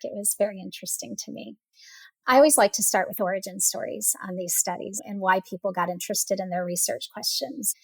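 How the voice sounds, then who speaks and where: A woman's voice: medium pitch, creaky, slightly rough, no room sound, rough recording. Female interview host, mid-range voice, dry recording. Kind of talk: podcast interview.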